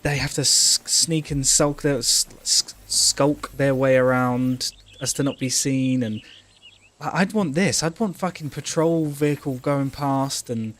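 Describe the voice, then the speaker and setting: A man's voice: mid-range voice, unhurried, fairly bright tone. A man co-hosting a casual gaming livestream, thinking aloud in a rambling, sweary way. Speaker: game streamer co-host.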